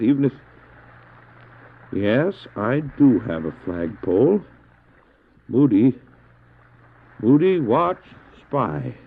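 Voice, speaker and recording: A thin, band-limited old cassette drama with music behind the voice. A male actor plays a pious missionary adrift on the ice, telling his ordeal in a medium-pitched, unhurried voice. His delivery is earnest and reverent, with deliberate dramatic phrasing. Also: phone-quality sound. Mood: desperate urgency. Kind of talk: audio drama acting with narrated recollection and prayer.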